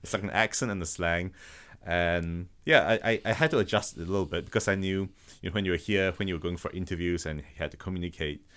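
A man from Malaysia with a slight Malaysian accent, a guest talking earnestly and haltingly in a casual podcast interview. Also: deep voice, fairly bright tone, smooth. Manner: earnest, halting, thinks aloud. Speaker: guest, robotics business founder.

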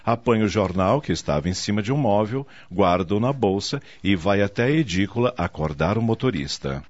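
A man's voice: on the low side, lively intonation, normal speed. A man narrating a radio soap in a dramatic, scripted style. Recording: dull lo-fi audio, music underneath.

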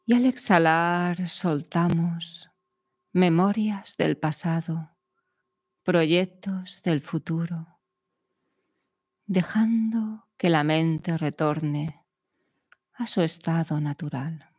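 Woman, meditation guide, very unhurried delivery, soothing measured phrasing, long pauses, close dry recording. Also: on the low side, darkish tone, slightly rough, dry recording.

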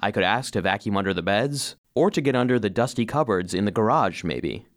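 A man's voice: on the low side, animated, fairly quick, lightly acted. A man playing an earnest, eager cleaning robot who narrates his own story expressively in a children's audio drama. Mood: uncertain, surprised.

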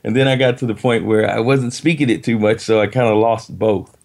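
A middle-aged man, a guest in an interview, talking in a reflective, halting way with many restarts. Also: on the low side, gravelly, a little mellow. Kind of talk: casual interview chat.

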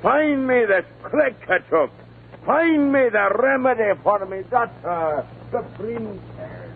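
A man's voice: extremely high pitch, expressive, slow pace, heavily acted. An actor playing a pompous, demanding king in a 1950 radio drama, declamatory and commanding. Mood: imperious. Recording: tinny, noisy 1950 radio broadcast.

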